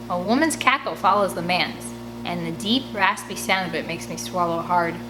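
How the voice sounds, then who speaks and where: Woman reading aloud, clear background noise. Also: lowish voice. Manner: animated, acts out dialogue. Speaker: audiobook reader. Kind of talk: expressive read-aloud of a children's novel, first person.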